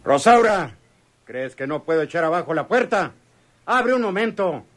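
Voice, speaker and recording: An actor playing a menacing, bullying suitor with a Mexican accent in a radio melodrama. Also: male voice, on the high side, very animated, normal speed, a bit bright. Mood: threatening. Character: menacing, bullying male suitor, quick to rage.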